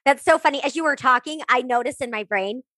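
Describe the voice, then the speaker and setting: Woman, high small voice, affirming, dry video call sound. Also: fast, no room sound. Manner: warmly agreeing, frequent soft affirmations. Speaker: interview host, coach. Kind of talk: casual video call interview.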